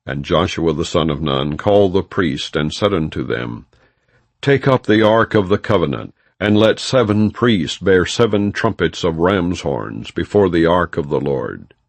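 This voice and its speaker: A man reading scripture as an audiobook narrator, in a solemn, measured, non-dramatized style. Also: deep voice, animated, no echo.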